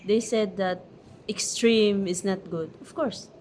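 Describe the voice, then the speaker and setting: Woman, Filipino accent, slow careful speech, hesitant, casual vlog chat. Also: medium pitch, fairly even intonation, darkish tone, slight background noise. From Philippines. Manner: hesitant, searching for English words. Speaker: vlogger.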